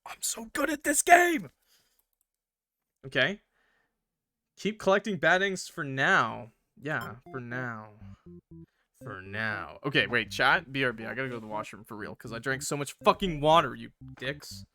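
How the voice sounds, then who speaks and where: A man chatting and commentating on a livestreamed game in an excitable, playfully combative way, bantering with viewers and swearing freely. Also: medium pitch, a little slow. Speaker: live streamer playing a game. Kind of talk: animated casual livestream chat and game commentary.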